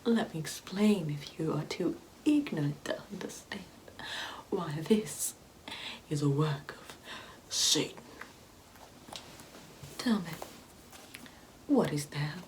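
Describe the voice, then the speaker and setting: A woman playing a sanctimonious, Bible-quoting Karen mother in an ASMR comedy roleplay, condescending and preachy, often dropping into a breathy whisper. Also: low voice, animated, slow, pauses often, a little mellow, a little hiss or hum. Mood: condescending, accusing.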